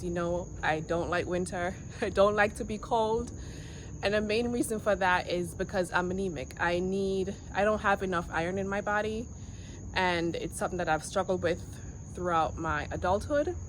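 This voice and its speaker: A woman in her forties, originally from Jamaica, vlogging to camera outdoors on a quiet street in an earnest, reflective way. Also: lowish voice. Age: 40s. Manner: earnest, reflective, with pauses and restarts. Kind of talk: casual vlog to camera. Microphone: handheld camera. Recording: outdoor noise with insects. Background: insects and passing vehicles.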